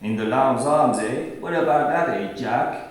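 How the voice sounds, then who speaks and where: A man's voice: medium pitch, unhurried, voice pushed down, natural voice. A man playing a gloating, teasing Ukrainian card winner in a radio play, mock-friendly and taunting. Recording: very echoey room, distant mic.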